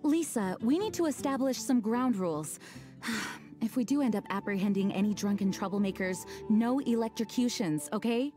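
A woman voicing a composed, responsible knight leader in a game, firm and measured. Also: fairly high pitch, warm tone, faint music behind, lightly acted. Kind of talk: game voice acting.

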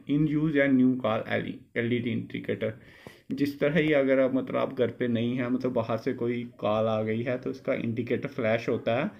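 A man's voice: medium pitch, highs cut off. A man giving an informal product review, explaining by repeating and rephrasing.

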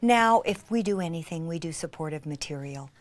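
A woman presenting a craft demonstration in an excitable, gushing way, with emphatic drops into a breathy near-whisper. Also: lowish voice, brisk, a little hiss or hum.

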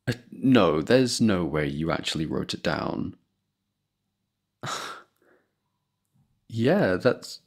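A young man speaks close to the mic in a low, gravelly voice that sits deep in his chest. He talks slowly and playfully, teasing and laughing softly, warm and affectionate like a boyfriend at bedtime. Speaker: boyfriend roleplay performer. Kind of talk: ASMR boyfriend roleplay.

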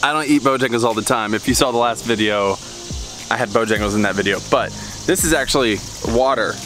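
A chatty man in his thirties talks straight into a camera he holds at arm's length, light and joking. His voice sits at an ordinary middle pitch. Music plays clearly beneath him. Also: fairly quick. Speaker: worship leader and vlogger. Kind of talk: casual vlog chat. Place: church hall and outdoor parking lot. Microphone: handheld camera at arm's length. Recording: music playing behind.

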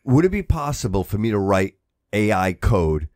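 Excitable man in casual podcast chat. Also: lowish voice, moderate pace, dry recording, studio quality. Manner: excitable, insistent, repeats himself. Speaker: podcast host.

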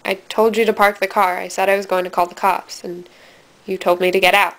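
A woman's voice: mid-range voice, little pitch movement, fairly bright tone, echoey room, close to an everyday voice. A teenage girl acting as a bitter, sardonic dead girl narrating confession tapes in a student film. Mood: wounded, accusing. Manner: deliberate, sardonic, dramatic delivery. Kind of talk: scripted dramatic monologue for amateur student film. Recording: hissy, distant amateur room recording.

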